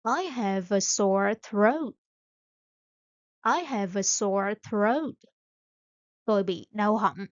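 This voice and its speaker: Slow, deliberate woman drilling phrases. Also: medium pitch, no room sound, lo-fi sound. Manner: says each phrase several times, slow and evenly paced, with short translations in between. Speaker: English teacher.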